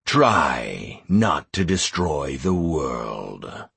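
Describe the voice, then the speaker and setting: A man voicing an ancient male dragon in an audiobook, solemn and commanding, pronouncing slow, ponderous decrees. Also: rumbling low, very animated, vocal fry, fairly bright tone, slightly rough, no echo, voice pushed down, exaggerated voice. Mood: grave, astonished.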